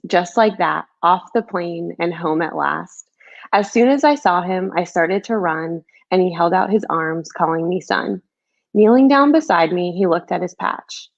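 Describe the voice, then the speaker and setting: A woman's voice: on the low side, creaky, lo-fi sound. A woman who is a children's book author, speaking in a storybook read-aloud or chatty setting. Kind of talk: storybook read-aloud, rhyming, then casual chat.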